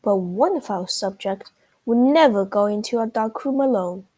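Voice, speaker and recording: A woman's voice: mid-range voice, animated, normal speed, dark tone, husky. A woman voicing a confident, inquisitive male duck detective in a children's storybook. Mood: deducing, confident. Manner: inquisitive, explanatory, exclaiming.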